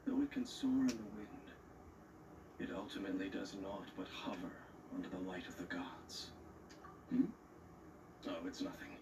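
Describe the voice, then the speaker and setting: A brooding wanderer from an anime video game. He speaks low, with grave formality and an air of mystery. The game audio sounds noisy and set back. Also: moderate pace, pauses often, distant mic. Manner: solemn, formal, enigmatic. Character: brooding, cryptic male wandering swordsman, grave and composed.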